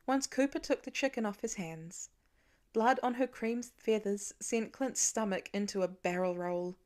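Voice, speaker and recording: A woman narrates fiction in a medium-pitched voice. Her delivery is expressive scripted storytelling, in the manner of an audiobook reader. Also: unhurried.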